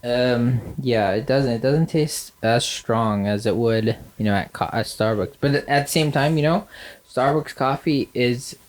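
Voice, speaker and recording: A man's voice: medium pitch, unhurried. A man with a nasal voice co-hosting a podcast, chatting casually with lots of fillers and dry sarcasm. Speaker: podcast co-host. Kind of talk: casual chat.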